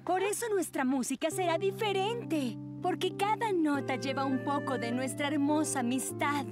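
A woman's voice: sits high, normal speed, loud music behind the voice, natural voice. A woman voicing an earnest, bossy teenage girl who leads her friends, emphatic, in a cartoon dub. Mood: earnest, commanding. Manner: emphatic, organising. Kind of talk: animated cartoon dub acting.

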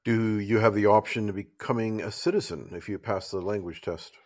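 A man hosting a podcast, relaxed and conversational. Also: on the low side, a little fast. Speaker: podcast host. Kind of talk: interview hosting.

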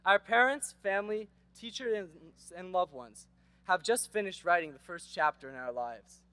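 A man's voice: high-pitched, moderate pace, bright, forceful tone, dry recording. A teenage boy giving a prepared graduation speech at a podium microphone on an outdoor stage, earnest and rhetorical with wry asides. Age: teens. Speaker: graduation speaker.

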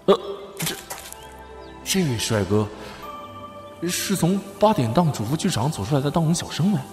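A man's voice: on the high side, sing-song, moderate pace, a little mellow. A man playing a crude, lecherous, easily panicked nineteen-year-old student in a comic audio drama, exclaiming and stammering melodramatically. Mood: flustered, indignant. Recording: roomy sound with music behind.

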